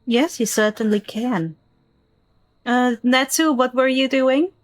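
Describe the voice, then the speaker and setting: A woman acting as game master, narrating a tabletop role-playing game in a descriptive, deliberate way. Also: high voice, no echo.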